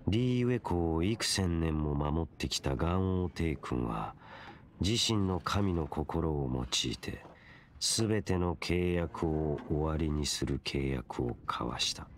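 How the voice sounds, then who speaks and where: A man voicing a cold, mysterious young wandering warrior in a Japanese game, speaking in a measured, stern, formal way. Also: rumbling low, animated, slight background noise, heavily acted. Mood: grave, measured.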